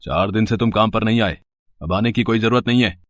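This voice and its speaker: Casting: hard-nosed boss, lowish male voice, brusque, very dry sound actor in a cartoon moral tale. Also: fairly quick, dry recording, lightly acted. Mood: curt, dismissive. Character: curt, unyielding male boss; also brief tradesman, doctor. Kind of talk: animated moral story voice acting.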